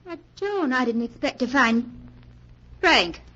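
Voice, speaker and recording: A woman's voice: sits high, lively intonation, slow pace, fairly bright tone, a little hiss or hum, full character voice. An actress playing a proud, infatuated young woman with a dramatic, haughty 1940s radio manner. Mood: cool, annoyed. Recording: dull vintage 1940s radio recording.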